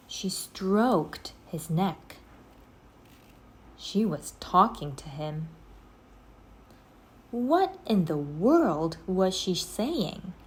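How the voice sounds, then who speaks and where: A woman narrates a children's book in a lowish voice. She takes it very slowly, with dramatic, deliberate phrasing and plenty of rise and fall. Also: slight background noise. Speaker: storybook reader, English teacher.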